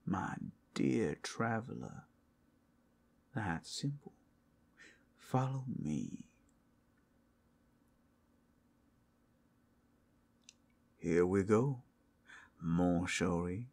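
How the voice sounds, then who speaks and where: A man's voice: sits low, expressive, very slow, creaky, long pauses, darkish tone, full character voice. A man voicing a suave, predatory male werewolf in an ASMR roleplay, speaking in a flirtatious, teasing drawl. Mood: flirtatious, soothing.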